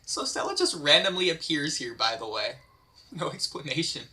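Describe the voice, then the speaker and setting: An excitable, expressive man casually directing a script read-through and explaining lines as reader. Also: a little high, normal speed, slight background noise.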